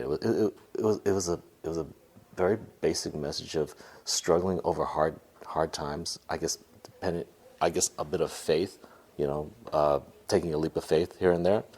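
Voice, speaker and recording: A man's voice: deep voice, a little mellow. A man working as a radio host, doing interviews and paying effusive compliments. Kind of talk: on-location interview.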